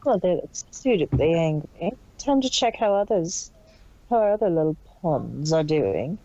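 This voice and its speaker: A woman's voice: low-pitched, big pitch swings, normal speed, dark tone, dry recording, dull audio, full character voice. A woman voicing a callous, cruel female pony business boss in a detached manner for a fan fiction reading. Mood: smug, scheming. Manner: detached, unemotional.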